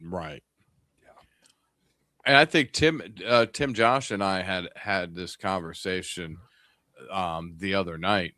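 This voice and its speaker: A man in his fifties from upstate New York talks casually on a ham radio livestream, wandering through his thoughts with plenty of ums and restarts. His voice sits low, and the sound is dry with no room echo. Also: slow, pauses often, sharp tone. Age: 50s. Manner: rambling, many fillers and restarts. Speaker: ham radio stream host. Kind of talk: casual group chat on a livestream.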